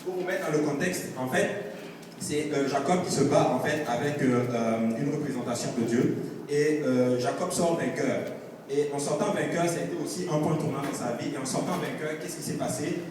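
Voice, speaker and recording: A man's voice: on the high side, normal speed, crowd noise. A man delivering an earnest church sermon, exhorting listeners with testimony, scripture readings and repeated refrains. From outside Canada. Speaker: preacher. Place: large reverberant church hall with congregation. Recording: noisy echoey hall, far from mic.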